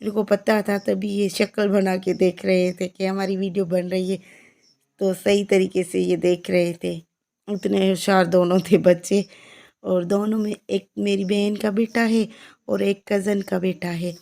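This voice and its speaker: A woman with a Pakistani accent and a hoarse, heavy voice, narrating her village vlog in a chatty, repetitive way. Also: mid-range voice, flat delivery, unhurried, warm tone. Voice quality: heavy, croaky voice. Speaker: family vlogger. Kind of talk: casual vlog voice-over.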